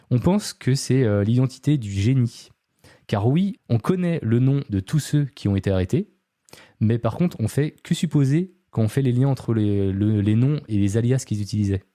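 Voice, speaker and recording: A man's voice: medium pitch, dark tone, dry recording, studio quality. A man hosting a podcast, telling a true-crime story casually, with many fillers and chatty asides to his co-host.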